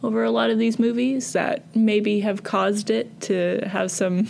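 Creaky voice over faint noise. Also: mid-range voice, level tone, a little slow, darkish tone. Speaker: podcast panelist. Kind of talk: casual film discussion.

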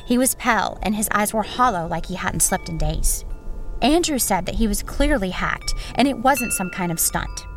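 A woman plays a haunted female journalist recounting a horror tale. Her mid-pitched voice is measured and suspenseful, in dramatic first-person narration. Music plays clearly underneath. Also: lively intonation, natural voice. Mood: grave, suspenseful.

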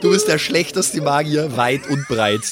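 Teasing man over loud background noise. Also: a little high, lively intonation, unhurried. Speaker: tabletop game player. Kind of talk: casual banter. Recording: very noisy background with clicks.

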